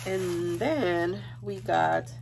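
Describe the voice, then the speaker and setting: A woman's voice: on the low side, extremely slow, gravelly, background noise. A congested woman chatting casually to viewers in a rambling haul vlog, thinking aloud as she goes. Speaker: home decor haul vlogger.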